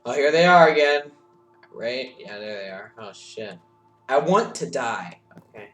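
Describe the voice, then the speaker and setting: A man streaming a game live, reacting excitably with yelps and shouts, keyboard clicking behind him. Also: mid-range voice, a little slow, echoey room, soft background music. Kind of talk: live gameplay commentary. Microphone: desk mic with audible keyboard.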